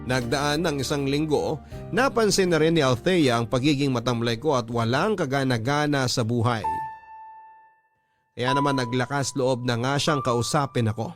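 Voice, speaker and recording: Male radio narrator, Filipino accent, medium pitch, dramatic storytelling read over prominent background music. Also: moderate pace. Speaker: radio show host and narrator.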